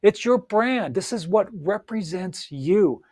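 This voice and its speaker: A man's voice: sits high, lively intonation, takes it slowly, darkish tone, dry recording, studio quality. A man giving an upbeat, encouraging solo talk as a coach, speaking straight to the listener with frequent fillers and restarts. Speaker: audiobook coach.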